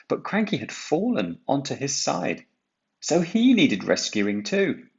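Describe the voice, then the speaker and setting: A deep-voiced man narrates a children's storybook at a slow, deliberate pace. He leans on key words for drama. The recording sounds a little dull. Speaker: storybook reader. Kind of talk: expressive children's read-aloud narration.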